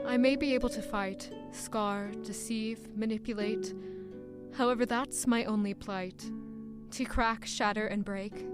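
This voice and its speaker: An actress playing a sorrowful otherworldly woman, reciting verse slowly and solemnly. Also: on the high side. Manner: slow, solemn poetic recitation. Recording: harp music underneath.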